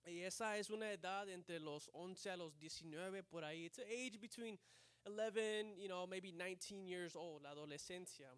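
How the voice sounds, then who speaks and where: High-voiced man preaching in two languages. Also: moderate pace, fairly bright tone, clean tone, no room sound. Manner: switches between Spanish and English, frequent amens. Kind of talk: bilingual sermon, translating himself line by line. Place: church service.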